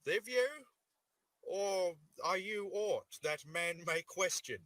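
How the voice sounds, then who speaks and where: An actor playing a wary, questioning nobleman in a Shakespearean radio play, with measured declamatory delivery. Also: high voice, fairly bright tone, no echo.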